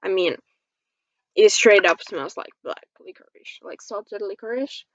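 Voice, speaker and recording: Slow-paced woman vlogger, gushing and casual. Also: medium pitch, fairly bright tone, no echo, lo-fi sound. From Finland. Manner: gushing, giggly. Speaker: beauty vlogger. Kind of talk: casual product review chat to camera.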